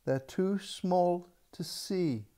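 Elderly man playing bossy maid, emphatic, warning, slow, drawn-out words, storybook reading. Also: high-pitched, warm tone. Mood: firm.

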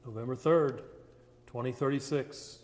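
A man's voice: medium pitch, a little slow, heavy background noise, close to an everyday voice. A man playing a grave disaster survivor giving testimony in a radio drama, in a reverberant space. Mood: grave, reflective. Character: grave male disaster survivor recounting the catastrophe. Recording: long reverberant hall echo.

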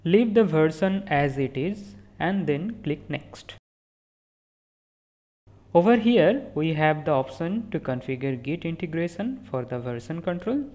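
A speaker giving a step-by-step software walkthrough as a voice-over, calmly talking through each click and sometimes correcting a word mid-sentence. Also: mid-range voice, a little slow. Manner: steady step-by-step instructions with small self-corrections. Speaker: tutorial instructor. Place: voice-over recorded apart from the screen capture.

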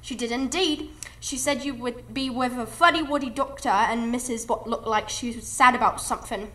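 A woman's voice: on the high side, moderate pace, a bit bright, lightly acted. A woman playing a cheeky, chatty working-class London girl in a radio drama. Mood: chatty, artless. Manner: rambling, artless, colloquial. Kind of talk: live radio drama acting. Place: live stage before an audience.

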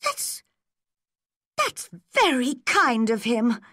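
A woman's voice: fairly high pitch, huge pitch swings, extremely slow, bright, forceful tone, breathy edge, dry recording, clean studio sound, voice pushed up, heavily acted. A woman voicing an eager, earnest teenage girl full of hope. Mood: warm.

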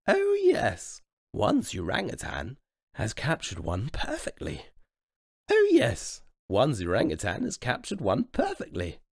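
A man voicing an imperious elderly queen in a shrill, pompous falsetto for a storybook. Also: wildly swooping pitch, takes it slowly, gravelly, no room sound, clean studio sound, full character voice. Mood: grandly pleased. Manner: pompous, over-enunciated, refers to self as "one". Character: posh, imperious elderly queen, snappy and regal.

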